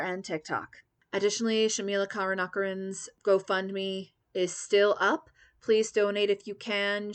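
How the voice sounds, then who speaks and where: A woman's voice: medium pitch, smooth. A woman hosting a podcast, talking casually as she interviews and makes announcements. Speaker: podcast host.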